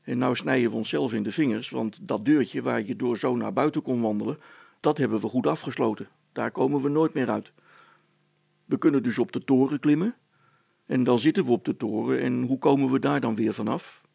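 A man voicing a practical, sceptical village man in a storybook, matter-of-fact and pausing to think. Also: mid-range voice, gravelly, dark tone, breathy edge, no echo.

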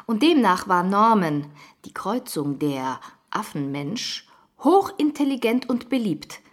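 A woman playing a determined, accusing investigative journalist in a radio drama, firm and pointed, with a husky voice. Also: mid-range voice, sing-song, slow pace.

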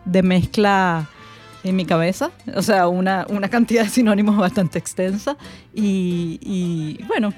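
Musing woman, relaxed chat. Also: medium pitch, a little slow, soft background music. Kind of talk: casual conversation.

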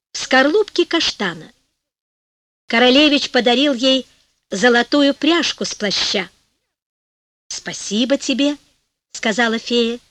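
Lively high-voiced woman narrating a fairy tale. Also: no echo. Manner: lively, voices the fairies and birds with raised pitch. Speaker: audiobook narrator. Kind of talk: storybook narration for children. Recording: dry studio recording.

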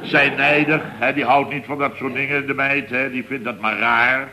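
An actor playing a flustered, indignant middle-aged building boss, telling things in a long-winded, exasperated way in a comic radio serial. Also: male voice, mid-range voice, steady tone, moderate pace, a crowd in the background, close to an everyday voice. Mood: exasperated storytelling. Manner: long-winded, exasperated storytelling peppered with verbal tags. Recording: old radio recording with faint noise.

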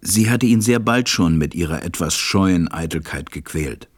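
An actor playing a gentle, reflective storyteller, narrating a storybook radio play. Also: male voice, low-pitched, animated, moderate pace, no echo, clean studio sound. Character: reflective adult male narrator recalling a little friend.

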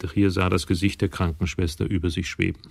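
A man's voice: low-pitched, little pitch movement, fast talker, creaky, slightly rough. A man narrating a radio crime drama in a scripted, storytelling style.

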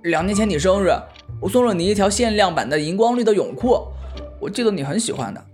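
A man's voice: high-pitched, lively intonation, quick pace, a little mellow, soft background music. An actor playing an eager, playful young male film star known for bad acting, in an audio drama. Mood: stubborn, teasing. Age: young adult.

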